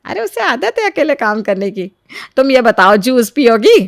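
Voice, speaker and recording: A woman's voice: on the high side, sing-song, moderate pace, vocal fry. A woman playing a domineering, spiteful middle-aged mother-in-law in a story, scolding and bossy. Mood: contemptuous. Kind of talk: Hindi moral story voice acting.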